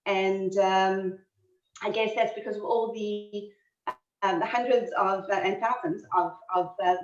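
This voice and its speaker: A woman's voice: medium pitch, fairly even intonation, measured pace, roomy sound. A woman with a South African accent talks through a history lecture over a video call. She is chatty and keeps restarting, with plenty of fillers. Her voice comes through dull and slightly distant. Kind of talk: online history talk. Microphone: computer mic on a video call.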